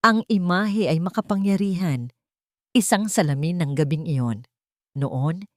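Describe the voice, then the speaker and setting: Filipino woman narrating a dramatic love story, lowish voice, really dry sound. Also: darkish tone, no echo.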